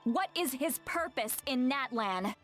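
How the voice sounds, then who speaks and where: Feisty game granny, high voice going up and down, stern n exasperated scolding, music under it. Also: female voice, lively intonation, unhurried, a bit bright. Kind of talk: video game voice acting.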